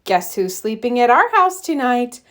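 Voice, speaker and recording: A woman voicing a calm, kindly animal mother who explains and reassures in a children's storybook. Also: fairly high pitch, moderate pace. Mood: announcing.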